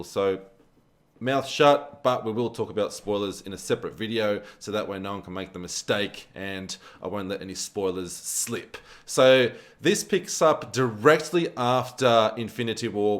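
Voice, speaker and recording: A man reviewing a film in a casual, conversational style, repeating words for emphasis. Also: fairly low pitch. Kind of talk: casual spoken movie review to camera.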